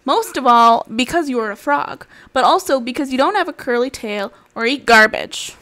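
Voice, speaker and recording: Storybook parent frog, female reader: firm, patient, teasing, emphatic, lively intonation. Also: sits high, normal speed. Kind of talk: animated children's storybook read-aloud.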